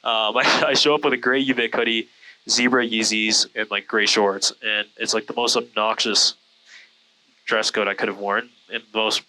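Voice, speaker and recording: A man's voice: fairly low pitch, fairly even intonation, moderate pace, a crowd in the background, poor audio. A man telling stories casually in a rambling, self-deprecating way, with lots of "like". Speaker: guest, content creator. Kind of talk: casual storytelling chat. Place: small airplane cockpit in flight.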